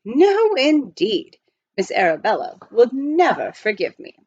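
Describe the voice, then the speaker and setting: A woman's voice: a little high, huge pitch swings, unhurried, a little mellow, breathy edge, no room sound, muffled recording, heavily acted. A woman voicing a proper, unflappable male English butler with formal, precise, reassuring speech in a storybook. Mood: indignant.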